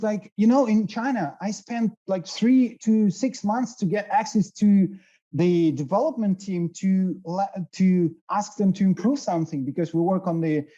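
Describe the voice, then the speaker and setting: A man, a guest answering questions haltingly while searching for words. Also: sits high, mellow, muffled recording. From Russia. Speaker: guest, SEO director. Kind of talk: casual interview.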